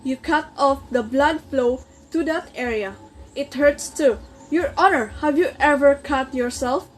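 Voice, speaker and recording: Woman playing a high-voiced, earnest circulatory system. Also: a little slow, faint music behind. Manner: demonstrative, instructing, formal address. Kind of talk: amateur reader's theater, scripted recitation.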